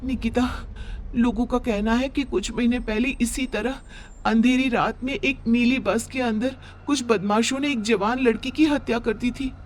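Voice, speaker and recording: A woman's voice: a little high, fairly even intonation, a little slow, a little hiss or hum, natural voice. A woman voicing a timid, anxious, fretful young working woman in a horror cartoon, with music underneath. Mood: nervous. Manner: worried, pleading.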